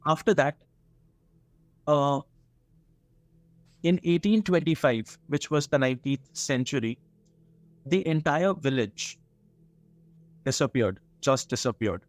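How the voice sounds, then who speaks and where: A man with an Indian accent telling a story conversationally, in a halting way with frequent asides. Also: fairly high pitch, animated, dry recording.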